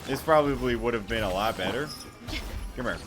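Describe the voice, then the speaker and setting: A man's voice: mid-range voice, lively intonation, a little fast. A man giving casual playthrough commentary as a gaming host, rambling and repeating himself in an amused way. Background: game music.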